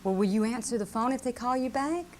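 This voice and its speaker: Woman, children's safety educator, slightly high voice, simple questioning delivery, faintly noisy VHS tape. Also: fast, a little mellow. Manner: simple explanations with checking questions. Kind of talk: lesson to a live audience of children. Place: live puppet show presentation.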